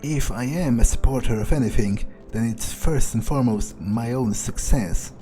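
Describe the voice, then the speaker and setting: A man's voice: medium pitch, normal speed, dark tone, close to an everyday voice. A man voicing a cynical, self-serving vampire newcomer in an in-character game playthrough, musing aloud in a dryly sardonic way. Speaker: gameplay video creator. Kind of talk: in-character game roleplay narration. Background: music.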